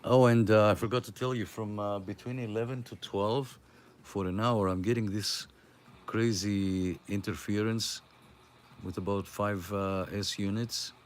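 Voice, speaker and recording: A man's voice: on the low side, fairly even intonation, a little slow, slight background noise. Greek-accented man hosting a radio chat. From Greece. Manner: chatty, friendly, hesitant with many uh fillers. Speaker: CB radio net host. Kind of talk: casual on-air radio chat.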